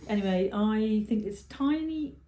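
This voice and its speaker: A chatty woman co-hosts a podcast, laughing often and circling back mid-sentence. Her voice sits at a middle pitch with a mellow, warm tone, and the room adds a noticeable echo. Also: expressive, unhurried. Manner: chatty, giggly, trails off and restarts. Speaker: podcast co-host. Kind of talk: casual chat. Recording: roomy echo.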